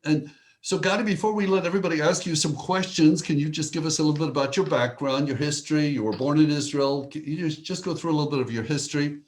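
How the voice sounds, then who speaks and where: A man's voice: fairly high pitch, fast. A man acting as host, introducing a guest with an enthusiastic welcome and then asking him about his background. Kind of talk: friendly introduction and interview questions.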